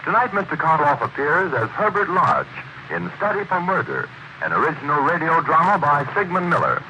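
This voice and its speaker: A man's voice: on the high side, sing-song, a little fast. A man announcing a 1940s radio drama in a formal, emphatic way. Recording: dull noisy old radio broadcast.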